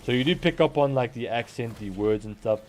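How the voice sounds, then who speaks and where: A man's voice: medium pitch, a little fast, a little hiss or hum. Twenties male streamer, mixed South African-Turkish accent, rambling chatty delivery. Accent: mix of South African and Turkish. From born in Turkey, raised in South Africa. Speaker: game streamer. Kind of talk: casual live-stream gaming chat.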